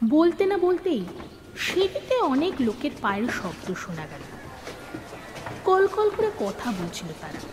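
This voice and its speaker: A woman's voice: high-pitched, sing-song, measured pace, in a large hall, noisy background. A woman narrating a scripted story in a dramatised storytelling style.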